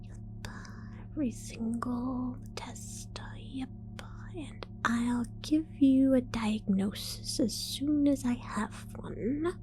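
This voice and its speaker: A woman voicing a scatterbrained female mad scientist in an ASMR roleplay, whispering softly, giggling and stammering as she falsely reassures. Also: a little high, slow, poor audio. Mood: sly whisper. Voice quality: soft whisper.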